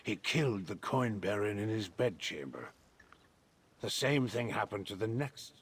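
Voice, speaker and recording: A proud island druid with a very husky, low-pitched voice. He speaks slowly and solemnly, guarded toward outsiders, as a character in a fantasy video game. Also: expressive, heavily acted. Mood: grim. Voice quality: husky, rough-edged. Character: wary, proud male druid of an island circle, grave and guarded.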